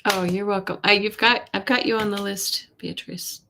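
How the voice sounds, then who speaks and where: A woman hosting a live tarot reading, a little hoarse, chatty, with a soft voice that often drops to a whisper. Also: medium pitch, fairly even intonation, moderate pace, a little hiss or hum. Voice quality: soft voice, not loud. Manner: chatty, reads viewer questions aloud, drops to whispers. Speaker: intuitive reader, live stream host.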